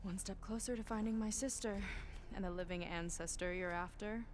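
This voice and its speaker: An actress playing a proud, guarded young female marine in a video game cutscene, brisk and plain-spoken, with a wry edge. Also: medium pitch, steady tone, a little hiss or hum, natural voice. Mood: earnest, upbeat. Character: proud, guarded young woman marine scout seeking her sister.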